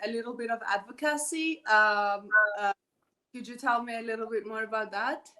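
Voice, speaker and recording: A woman's voice: fairly high pitch, normal speed, sharp tone. A woman hosting a podcast interview, asking questions hesitantly with fillers. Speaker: podcast host.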